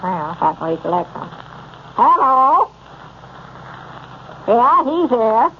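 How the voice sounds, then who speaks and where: Casting: high-pitched, literal-minded old Southern country storekeeper, gullible worrier, vintage radio comedy, muffled noisy sound. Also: male voice, sing-song, slow pace, full character voice. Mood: matter-of-fact. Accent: rural Southern US. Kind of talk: 1930s radio comedy acting.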